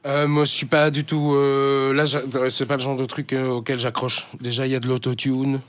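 A man's voice: medium pitch, completely flat delivery, moderate pace, no echo. An older man from Brussels, a radio guest talking candidly in a studio interview, full of fillers and restarts. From Brussels, Belgium. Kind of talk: casual interview chat.

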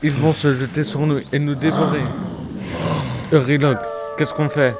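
A man's voice: a little high, flat delivery, moderate pace, natural voice. A male performer playing a naive Greek sailor with a casual way of talking in an audio drama. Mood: frightened. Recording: loud noise, clinking dishes.

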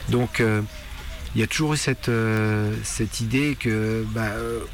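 A man's voice: lowish voice, steady tone, a little slow. A man reflecting in a documentary interview, searching for words and repeating small words as he goes. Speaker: punk musician interviewed for a radio documentary. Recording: music playing under the voice. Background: music.

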